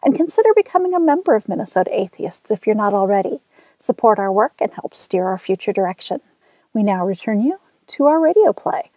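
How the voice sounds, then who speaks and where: A woman's voice: mid-range voice, expressive, fairly quick, dark tone, dry recording. A woman hosting a radio show, reading scripted announcements.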